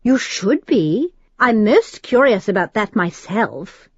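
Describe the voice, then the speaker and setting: A woman's voice: medium pitch, very animated, takes it slowly, breathy edge, no echo, heavily acted. A haughty male demon lord, voiced by a woman narrator, speaks in a precise, mocking British accent. His lordly sneer carries through a dry, slightly dull audiobook recording. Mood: taunting.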